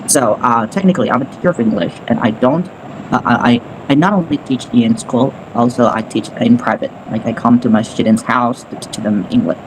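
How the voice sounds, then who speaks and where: A man, an English teacher, tells a story loosely and chattily, filling gaps with "like" and "um". His voice sits at an everyday middle pitch. Steady noise runs behind him on the call. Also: a little fast. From Indonesia. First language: Sundanese. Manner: chatty, loose, with many fillers. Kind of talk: casual storytelling in a meeting. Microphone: video call. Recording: noisy background.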